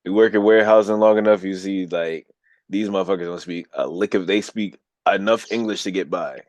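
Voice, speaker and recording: Podcast co-host, young man, low voice, blunt and profane, dry close sound. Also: brisk, a little mellow, dry recording. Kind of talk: casual opinion chat.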